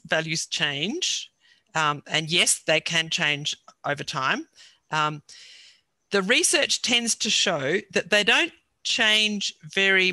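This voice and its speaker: A woman's voice: low-pitched, bright, forceful tone, dry recording. An older woman explaining ideas earnestly on a video call from her home study, with frequent ums. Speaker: guest speaker. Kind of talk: lecture with audience questions. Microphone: headset on video call.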